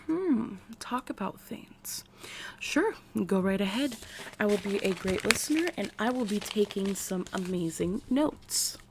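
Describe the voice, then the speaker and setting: A woman with an American accent playing an eccentric, eager military scientist acting as therapist in an intimate, chatty ASMR roleplay that dips into soft whispers. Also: medium pitch, lively intonation, a little slow, slight background noise. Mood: soothing, attentive.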